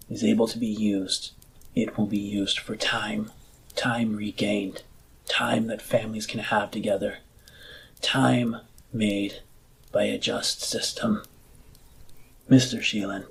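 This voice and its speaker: A man playing a solemn, earnest politician burned and bound to a chair, with a damaged, breathy rasp and formal, measured speech. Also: fairly low pitch, a little hiss or hum, poor audio. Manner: slow, measured, formal oratory.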